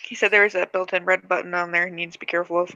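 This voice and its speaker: A woman's voice: lowish voice, level tone, rapid-fire, sharp tone, clean tone, no echo, lo-fi sound. A woman chatting casually and teasingly in a group call on a live stream.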